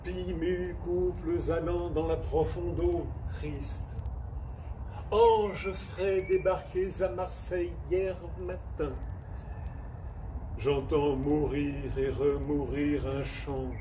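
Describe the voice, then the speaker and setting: A man with a somewhat high voice recites verse extremely slowly, leaving long pauses between phrases. He sounds set back from the microphone, with clear noise behind him. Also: steady tone. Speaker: poetry reader. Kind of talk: slow solemn poetry recitation. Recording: distant mic, clear background noise.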